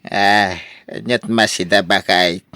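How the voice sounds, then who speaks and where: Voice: low voice, fairly even intonation, slow pace, pushed and bright, dry recording, studio quality, full character voice. A performer with an Ilocano accent playing a hapless, evasive gambler with a whining manner in a radio drama. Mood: weary grumbling.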